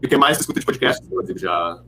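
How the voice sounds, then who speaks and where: A man's voice: low voice, animated, very fast, sharp tone, a little hiss or hum. A man hosting a podcast in casual conversational style. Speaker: podcast host.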